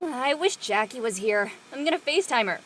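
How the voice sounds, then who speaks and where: Woman playing a brash, high-pitched teenage girl. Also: a little fast, slight background noise. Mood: brash, excited. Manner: slangy, expressive. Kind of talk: casual scripted drama dialogue.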